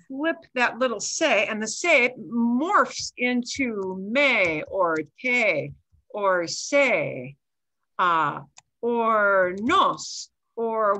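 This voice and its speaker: Bright-voiced older woman teaching Spanish online. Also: mid-range voice. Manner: mixes Spanish and English, explains patiently with asides. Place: home video call. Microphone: headset.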